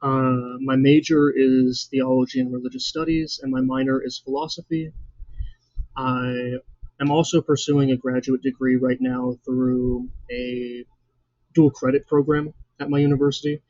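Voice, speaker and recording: A young man in his twenties, hosting his own channel, introducing himself in an earnest, measured way with frequent fillers. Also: medium pitch, level tone, a little mellow, dry recording, highs cut off. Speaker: channel host. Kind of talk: scripted personal introduction.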